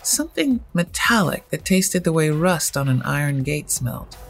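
A storyteller with a husky voice, narrating first-person horror fiction in a measured, dramatic way. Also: medium pitch, very animated, soft background music.

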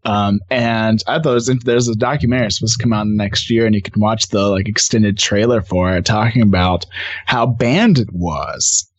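A man's voice: lowish voice, normal speed, dry recording, lo-fi sound. A man chatting casually and enthusiastically as a podcast guest. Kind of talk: casual conversation.

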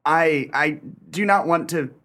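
An actor playing a timid, fussy gentleman in a radio play, stammering and hesitating with formal wording. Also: a little high, unhurried. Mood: stiff, hesitant.

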